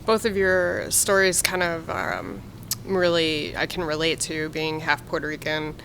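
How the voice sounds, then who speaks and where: Curious, lower-voiced woman host. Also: measured pace, gravelly, fairly bright tone, slight background noise. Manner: curious, thoughtful questioning. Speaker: podcast host. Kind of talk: casual interview.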